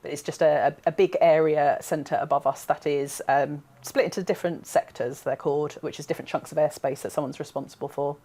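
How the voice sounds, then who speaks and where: A woman's voice: low-pitched, steady tone, fairly quick, hardly any pauses, warm tone. A woman explaining her work conversationally as an experienced air traffic controller.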